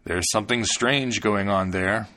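A man's voice: on the low side, measured pace, bright, forceful tone. A man voicing a greedy, scheming stranger in a storybook tale, conspiratorial and persuasive. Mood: astonished, curious. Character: scheming male stranger, greedy, coaxing opportunist.

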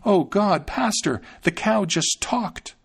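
A man's voice: high voice, expressive, slow pace, creaky, slightly rough, pitched above the performer's own voice, full character voice. Man playing a panicked young maid. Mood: alarmed.

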